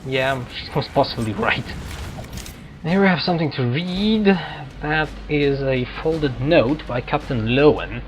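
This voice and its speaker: A man giving casual video game commentary in a halting way, often correcting himself. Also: mid-range voice. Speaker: gameplay commentator. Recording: game music behind the voice. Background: video game music.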